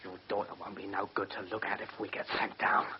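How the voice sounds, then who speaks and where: An actor playing a surly, defiant career criminal on trial in a radio drama, speaking bluntly with a husky voice and a working-class English accent. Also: male voice, on the low side, completely flat delivery, fast, natural voice. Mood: agitated, demanding. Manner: blunt, colloquial. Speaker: defendant in a courtroom drama. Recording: muffled, dull radio drama recording.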